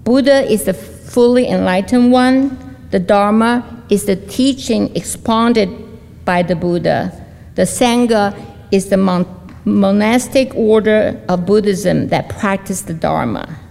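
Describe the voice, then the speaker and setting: A woman reading prepared remarks at an interfaith service, measured, with small slips and restarts. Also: mid-range voice, creaky, darkish tone. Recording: long hall reverb.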